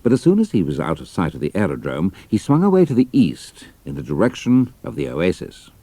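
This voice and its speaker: Deep, gravelly British male narrator. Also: wildly swooping pitch, brisk, mellow, no echo. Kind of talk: scripted adventure audiobook narration.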